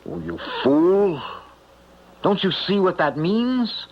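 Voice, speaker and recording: An actor playing a wary, hunted male fugitive in a space-age radio thriller, questioning insistently in a husky voice. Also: sits high, animated, slow, exaggerated voice. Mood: angry, suspicious. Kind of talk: old-time radio drama acting. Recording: muffled, lo-fi radio drama recording.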